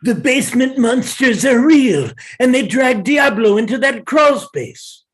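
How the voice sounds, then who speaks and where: A woman voicing a brash, excitable cartoon animal in a comedy table read, blunt in manner. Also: fairly high pitch, unhurried. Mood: alarmed.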